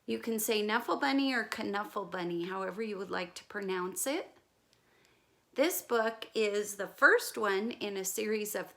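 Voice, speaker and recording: A woman reading a picture book aloud to young children like a teacher, expressively, with explanatory asides. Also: mid-range voice. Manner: careful, expressive storytelling with gentle questions to listeners.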